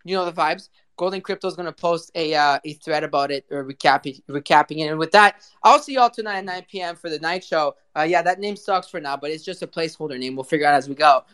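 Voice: mid-range voice, quick pace, hardly any pauses, a bit bright, smooth. A show host chatting in an informal, slangy, effusive way on a live audio show. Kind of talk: casual chat hosting.

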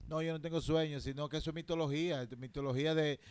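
A man with a Dominican Spanish accent in casual podcast banter, colloquial and quick to argue as a co-host. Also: on the high side, fairly even intonation, smooth, no room sound. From Dominican Republic. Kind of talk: casual chat.

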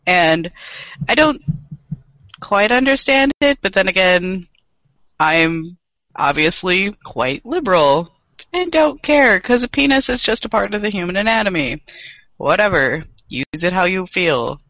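A man's voice: high-pitched, big pitch swings, measured pace, pushed and bright, no room sound, poor audio. A young man co-hosting a casual podcast chat, joking and swearing freely, with a dry voice today. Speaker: podcast co-host.

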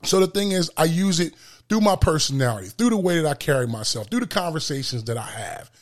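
A man hosting a solo motivational podcast with a booming voice, speaking emphatically and repeating phrases for effect. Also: expressive, fast. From lives in Florida. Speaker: podcast host.

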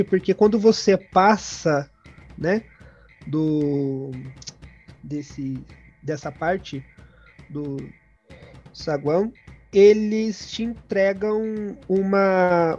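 A man's voice: sits high, dark tone. A man with a Brazilian Portuguese accent, telling personal stories in a conversational way as an interview guest. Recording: dull lo-fi audio with music behind.

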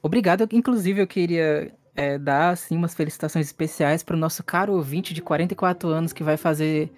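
A man's voice: a little high, a little fast. A man with a Brazilian accent chatting casually as a player and co-host on a role-playing podcast.

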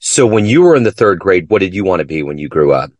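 A man's voice: deep voice, big pitch swings, fast talker, dry recording. A man hosting an interview, putting folksy, prepared questions in a conversational way. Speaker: podcast interview host.

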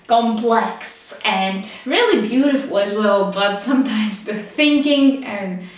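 A woman's voice: medium pitch, expressive, slow. A young woman from the Czech Republic with a Czech accent, rambling casually to the camera with lots of fillers and laughs. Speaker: vlogger. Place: small studio with street traffic outside. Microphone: camera's built-in mic, no external microphone. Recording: roomy, distant camera mic with faint street noise.

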